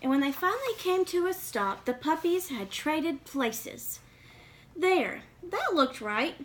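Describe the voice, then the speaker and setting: A woman's voice: high voice, expressive, slow, slight background noise. A woman reading a children's picture book aloud expressively, with questioning asides to young listeners. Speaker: read-aloud reader.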